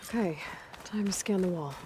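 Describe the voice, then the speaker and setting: A woman's voice: fairly low pitch, moderate pace, warm tone, a little hiss or hum, natural voice, rough recording. A woman playing a resolute, observant young outlander huntress in a video game, wry and self-possessed. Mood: musing, curious. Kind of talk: video game cutscene acting.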